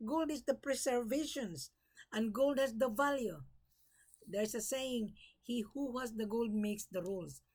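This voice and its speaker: A woman's voice: on the high side, a little slow, a little mellow. An older woman from the Philippines giving emphatic, repetitive warnings and advice straight to her viewers. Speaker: gold brokerage vlogger. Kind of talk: direct-to-camera advice talk.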